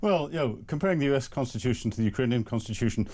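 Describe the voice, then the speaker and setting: Mellow-voiced man, measured with fillers. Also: mid-range voice, lively intonation. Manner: measured, hesitant with many fillers. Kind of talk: panel commentary.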